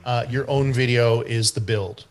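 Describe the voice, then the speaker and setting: Canadian male SEO guest, easygoing explainer, mid-pitched voice. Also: unhurried. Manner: relaxed and explanatory, with frequent fillers and restarts. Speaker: guest expert on website SEO. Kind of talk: explaining during an online group meeting.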